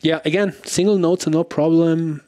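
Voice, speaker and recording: A man's voice: fairly high pitch, fairly even intonation, normal speed, mellow, soft background music. A man giving a casual product demo commentary as the presenter, thinking aloud informally and often checking for agreement. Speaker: presenter of a guitar pedal comparison.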